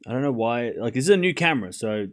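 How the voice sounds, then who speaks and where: A young Australian man talks loosely on his live stream, his voice set in an ordinary middle register. He drifts between quick calls of the tennis and easygoing answers to chat, swearing now and then. The talk rambles, with the restarts of someone speaking off the cuff. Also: medium pitch. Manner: relaxed and rambling, reads chat aloud and answers it, swears casually.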